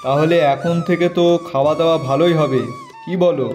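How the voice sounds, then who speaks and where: Man acting an eager villager over clear music. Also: fairly high pitch, fairly even intonation, brisk, a little mellow, lightly acted. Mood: curious, delighted. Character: eager, food-loving village friend, younger man. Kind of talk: Bengali moral-story cartoon dubbing.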